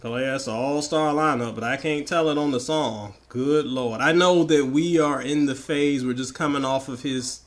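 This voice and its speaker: A man with a slightly raspy voice from the tail end of a flu, bantering emphatically and playfully as an opinionated podcast co-host. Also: mid-range voice, moderate pace, hardly any pauses. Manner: playful, emphatic, repeats words for effect. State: getting over the flu.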